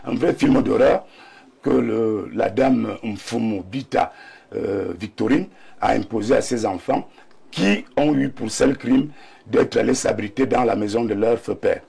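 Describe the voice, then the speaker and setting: Gravelly Cameroonian man with a cold. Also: lowish voice, unhurried, warm tone. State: slight cold, throat clearing. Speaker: panelist. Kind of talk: live debate commentary.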